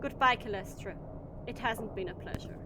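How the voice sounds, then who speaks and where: A woman's voice: mid-range voice, moderate pace, heavy background noise, close to an everyday voice. A woman playing a blunt, defiant shuttle captain in an audio drama, curt and dismissive in manner. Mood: defiant, fed up.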